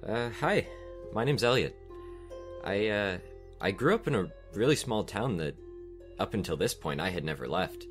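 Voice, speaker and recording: Guy acting shy awkward young bard, deep voice, fantasy drama, guitar playing behind. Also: animated, normal speed, lightly acted. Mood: nervous, modest. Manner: hesitant, self-effacing, awkward. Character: shy, humble young male small-town musician. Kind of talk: in-character fantasy audio drama introduction. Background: plucked guitar music.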